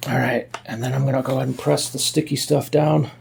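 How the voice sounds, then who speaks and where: A man's voice: mid-range voice, little pitch movement, fairly quick, vocal fry, darkish tone, a little hiss or hum. A man giving a casual hands-on tutorial in a folksy way, thinking aloud as he works, recorded on a phone. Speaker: amateur DIY video maker.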